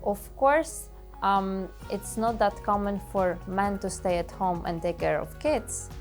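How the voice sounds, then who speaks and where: A woman's voice: mid-range voice, slow pace, faint music behind. A woman, answering in an earnest, direct way. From Ukraine. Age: late 20s. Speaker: interview guest.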